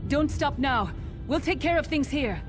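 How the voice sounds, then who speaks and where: A woman's voice: animated, normal speed. A woman playing a loyal, earnest and resolute comrade in battle in a fantasy video game. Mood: urgent. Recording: music and rumbling behind the voice.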